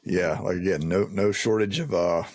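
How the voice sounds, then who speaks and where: A man chatting casually as an interviewer, halting as he goes, with wry joking asides. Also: low-pitched, darkish tone, no room sound. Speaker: podcast interviewer. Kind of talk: casual chat.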